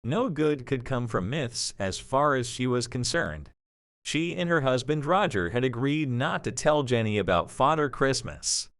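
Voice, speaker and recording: Male narrator for audio drama: measured storyteller, animated pitch movement, mid register, very dry sound. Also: no echo.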